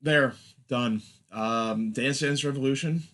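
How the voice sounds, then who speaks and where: A man's voice: medium pitch, takes it slowly, fairly bright tone. A man hosting a podcast in a loose, casual chatting style. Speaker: podcast host. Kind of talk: casual chat.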